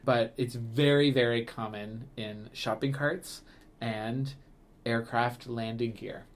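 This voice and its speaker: A man co-hosts a casual podcast, chatting in a rambling way full of fillers and quick restarts. His voice sits at an ordinary middle pitch. He laughs often as he talks. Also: steady tone, a little hiss or hum. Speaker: podcast co-host, museum staff. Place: Canada.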